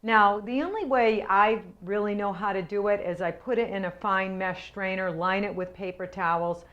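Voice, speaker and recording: A woman's voice: mid-range voice, a bit bright, dull audio. Chatty woman hosting a home cooking show. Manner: chatty, homey, explains each step. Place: home kitchen.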